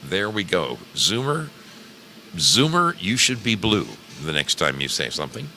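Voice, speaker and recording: A man's voice: low voice, sing-song, a little slow, pushed and bright, a little hiss or hum, rough recording. A man hosting a live stream, greeting listeners effusively in casual, slangy chat. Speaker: live-stream host of an old-time radio program.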